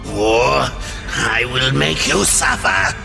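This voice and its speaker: A spiteful, sneering demon minder. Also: male voice, medium pitch, sing-song, takes it slowly, vocal fry, heavily acted. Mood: threatening. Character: spiteful, sneering male demonic watcher, petty and threatening. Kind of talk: video game fantasy acting. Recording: dramatic music behind voice.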